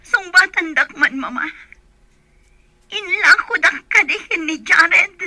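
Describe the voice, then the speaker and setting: A woman's voice: very high voice, slow, bright, forceful tone, exaggerated voice. A woman playing an aggrieved young wife in a radio drama. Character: aggrieved young wife chafing at a jealous husband.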